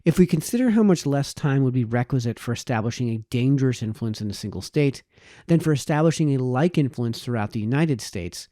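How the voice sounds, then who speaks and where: A man reading a formal historical text aloud as scripted narration, in measured, formal phrasing. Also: medium pitch, lively intonation, mellow, no room sound, studio quality. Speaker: audiobook reader.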